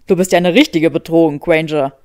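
A woman's voice: sits low, fairly even intonation, brisk, darkish tone, no room sound, clean studio sound, pitched below the performer's own voice, close to an everyday voice. A woman voicing a haughty, skeptical young wizard man with a drily sardonic drawl in an audiobook. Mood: dry, probing.

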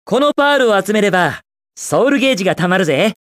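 An actor playing a cocky, upbeat young hedgehog hero in a video game, with a brash, casual, self-assured delivery. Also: male voice, high voice, big pitch swings, quick pace, fairly bright tone, no room sound, studio quality. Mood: matter-of-fact, helpful. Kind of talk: Japanese video game voice clips.

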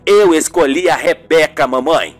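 A man's voice: fairly high pitch, measured pace, faint music behind, voice pushed down, close to an everyday voice. A man playing a defensive, exasperated young journalist son in an amateur radio soap. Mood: accusing, firm.

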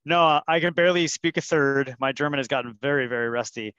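A man's voice: mid-range voice, quick pace, fairly bright tone, smooth, dry recording, highs cut off. A man chatting in an online meeting, friendly and encouraging. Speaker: meeting participant. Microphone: video call.

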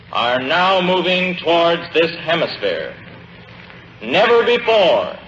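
An American male clerk reads out an official statement very slowly. His voice is fairly high, with declamatory, measured phrasing. The old 1940s radio recording is dull. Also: fairly bright tone, slight background noise. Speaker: reading clerk. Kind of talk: formal official read-out to an assembly.

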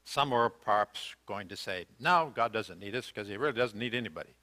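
A man's voice: lowish voice, a little fast, pushed and bright. An older American man preaching a sermon in a church, earnest and questioning, with hesitant fillers and restarts. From United States. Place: church sanctuary, indoors.

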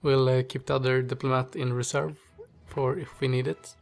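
A man's voice: medium pitch, flat delivery, normal speed, creaky, soft background music. A man with a stuffy nose and a slightly strained voice, hosting casual strategy game commentary, thinking aloud with many fillers. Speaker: gaming channel host.

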